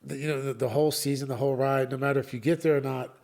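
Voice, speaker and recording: A man's voice: medium pitch, fairly even intonation, very fast, vocal fry, darkish tone. A man hosting a podcast in casual chat, emphatic and repeating key words. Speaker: podcast host.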